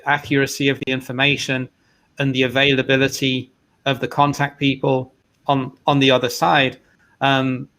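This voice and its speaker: A man's voice: mid-range voice, fairly bright tone. A man hosting a virtual trade fair over a video call, chatting casually with plenty of "you know" fillers and restarts. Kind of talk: casual spoken chat.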